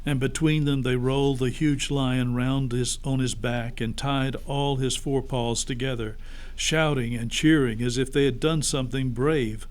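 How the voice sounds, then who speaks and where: A man's voice: medium pitch, steady tone, moderate pace, a little mellow, clean tone. A man narrating a story expressively, as a pastor reading aloud to children.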